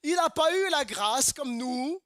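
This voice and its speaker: A man from Normandy delivers a sermon in an emphatic, repetitive style full of rhetorical questions. His voice sits very high and sounds bright and forceful. The sound is dry and close, with almost no room echo. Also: animated, measured pace. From Normandy, France. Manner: emphatic, repeats phrases, asks rhetorical questions. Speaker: pastor. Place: church service.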